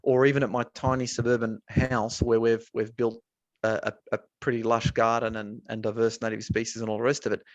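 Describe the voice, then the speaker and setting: A man from Australia talking casually in a webinar, pausing often with fillers and restarts, speaking from an office building. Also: mid-range voice, flat delivery, dry recording. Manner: hesitant, many restarts and fillers. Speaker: researcher.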